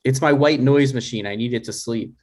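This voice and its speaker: A man's voice: medium pitch, a little fast, darkish tone, close to an everyday voice. A man playing a dry, exasperated, reluctant adult son in a comedy table read, hesitant and natural in delivery. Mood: irritated.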